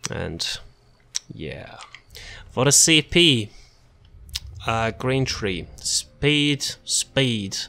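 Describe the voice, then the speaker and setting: A man casually walking through a game character build, relaxed and rambling, with frequent fillers and restarts. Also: medium pitch, very slow, creaky, pauses often. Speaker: gaming content creator. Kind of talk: casual build showcase commentary.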